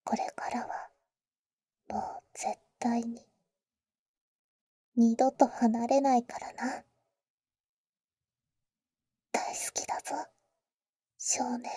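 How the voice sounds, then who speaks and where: A female vampire acted by a woman whispers slowly and very softly, right up against a dry microphone. Her voice is high and very mellow, with a teasing, tender big-sister manner suited to intimate roleplay ASMR. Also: little pitch movement, no room sound, heavily acted. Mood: devoted. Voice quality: soft, breathy, mostly whispered. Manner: slow, tender, teasing, boyish casual phrasing. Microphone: close, dry ASMR microphone.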